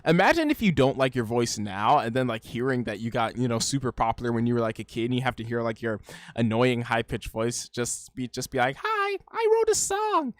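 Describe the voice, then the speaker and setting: A man of average pitch talks through a casual reaction commentary. He rambles and circles back with "like" and "you know". His voice sounds dry and close, with no room around it. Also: big pitch swings, brisk, no room sound. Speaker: YouTube reaction host.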